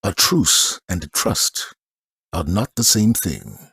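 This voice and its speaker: An actor playing a grave, steadfast military commander in a video game, speaking in a solemn, measured, authoritative way. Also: male voice, low voice, sing-song, gravelly, a bit bright, husky, no echo, clean studio sound, heavily acted. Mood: guarded, stern. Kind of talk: scripted video game voice acting.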